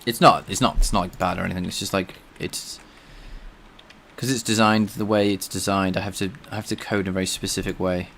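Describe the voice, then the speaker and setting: A man's voice: low voice, flat delivery, a little fast, a bit bright, a little hiss or hum. A man streaming himself coding, thinking aloud and chatting with viewers. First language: English. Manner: thinks aloud while coding, answers chat. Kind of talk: casual live-coding stream chat.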